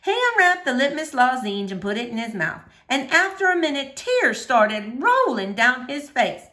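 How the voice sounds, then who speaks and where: A woman teacher reading a storybook aloud to children, with expressive, animated storytelling. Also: sits high, normal speed.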